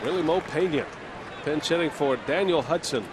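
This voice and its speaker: Play-by-play man for a ball game, measured delivery, slightly high voice, noisy broadcast feed. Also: a crowd in the background. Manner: steady, measured game calling. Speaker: play-by-play announcer. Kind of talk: baseball play-by-play commentary.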